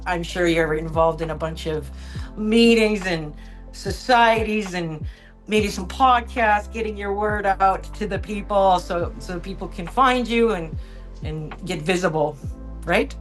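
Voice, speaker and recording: A woman's voice: medium pitch, unhurried, faint music behind, low-quality recording. A woman hosting an online meetup, upbeat and chatty, talking about astrology on a video call. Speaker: meetup host. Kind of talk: casual group chat on a video call.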